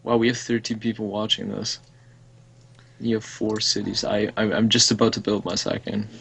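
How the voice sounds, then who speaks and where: A man's voice: lowish voice, little pitch movement, moderate pace, vocal fry, fairly bright tone, slightly rough. A young man streaming a strategy game, chatting casually and rambling with the odd swear. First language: English. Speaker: let's play streamer. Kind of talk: casual gaming chat.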